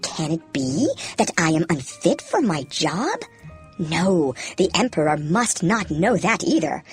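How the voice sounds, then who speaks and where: A woman's voice: on the low side, sing-song, a little slow, slightly rough, full character voice. A faithful old wise man from a fairy tale, played by a woman reader. He is an anxious royal adviser who frets and questions himself with swooping intonation. Music sits behind the voice. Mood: worried. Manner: fretful, self-questioning. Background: background music.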